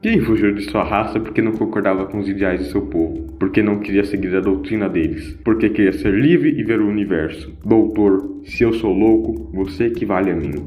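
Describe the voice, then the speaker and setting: Grandiose celestial renegade for audio drama, man acting, dark declamatory delivery, lowish, boxy echoey sound. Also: brisk, hardly any pauses, dull audio, faint music behind, natural voice. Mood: grandiose, zealous. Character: the Wanderer, renegade celestial being, grandiose would-be utopian.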